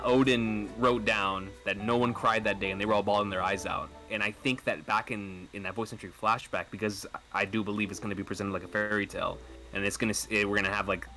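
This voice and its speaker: A man's voice: on the low side, pushed and bright, rough recording. A man in a casual podcast chat, laying out his ideas eagerly and emphatically.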